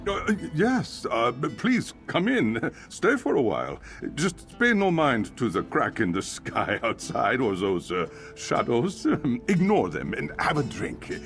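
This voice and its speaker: A flustered fantasy game innkeeper, chatty, bit gravelly, voice jumps all over the place. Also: male voice, mid-range voice, huge pitch swings, measured pace, fairly bright tone, faint music behind, full character voice. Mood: flustered, nervous. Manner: stammering, nervous hesitations and sighs. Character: nervous male innkeeper, flustered but chatty.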